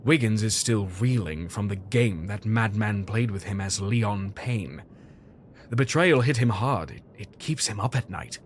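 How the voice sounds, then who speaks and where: An actor playing a fussy, caring Victorian gentleman doctor in an audio drama, with earnest, old-fashioned formal speech. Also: lowish voice, lively intonation, unhurried, slight background noise. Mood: grave, puzzled.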